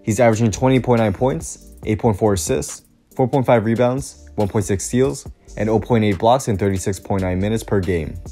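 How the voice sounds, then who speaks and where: This is a young man delivering scripted basketball commentary in a low, mellow voice that still sounds a little rough from a recent flu. He moves steadily through lists of stats in an upbeat tone. Faint music plays underneath. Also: normal speed. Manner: reads a prepared script with stat lists, upbeat. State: recovering from the flu, voice slightly off. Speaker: sports commentary YouTuber.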